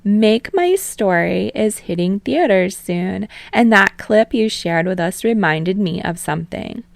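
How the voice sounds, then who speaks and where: A woman's voice: mid-range voice, expressive, a little slow, vocal fry, dry recording. A woman doing a bubbly, smug talk show host. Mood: probing. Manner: loud, bright and smug.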